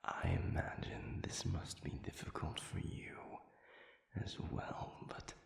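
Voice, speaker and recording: A man playing an anguished demon who has lost his memory, in an intimate audio roleplay, speaking in halting, gravelly half-whispers with formal wording. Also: echoey room, natural voice. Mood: anguished, conflicted. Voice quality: gravelly, breathy.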